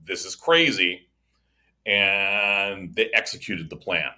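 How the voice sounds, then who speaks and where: Bright-voiced man, halting casual chat. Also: deep voice. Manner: halting, many restarts and repeated words. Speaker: insurance agent co-host.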